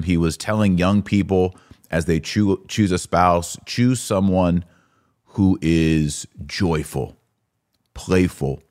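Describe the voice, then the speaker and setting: A man with an American accent and a low voice speaks as a podcast host. He comments on the news to his audience in an informal, conversational style, stating his opinions plainly. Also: darkish tone.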